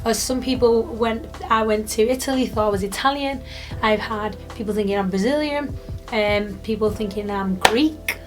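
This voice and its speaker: A woman's voice: mid-range voice, moderate pace, over music, poor audio. A British woman in her twenties from the UK, chatting casually to camera in a rambling vlog with lots of fillers. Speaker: YouTuber.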